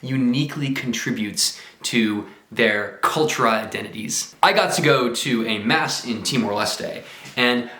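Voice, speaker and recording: A man's voice: mid-range voice, unhurried, sharp tone. A man talking casually to camera in a travel vlog, chatty and enthusiastic with lots of exclamations. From United States. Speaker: travel vlogger. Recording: roomy echo.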